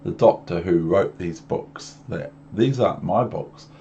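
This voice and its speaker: A man's voice: low voice, a little slow, gravelly, warm tone, lo-fi sound, a little hiss or hum. A man with a New Zealand accent reading a storybook aloud to young children, with chatty asides. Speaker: storybook reader.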